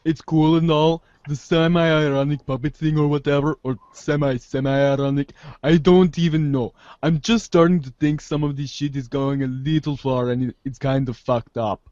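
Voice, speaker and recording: A man's voice: on the high side, fairly even intonation, moderate pace, few pauses, darkish tone, no room sound, muffled recording, close to an everyday voice. A man playing a too-cool, rambling teenage slacker who is uneasy underneath, casual and sweary, in a fan theater reading. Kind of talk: read-along fan theater.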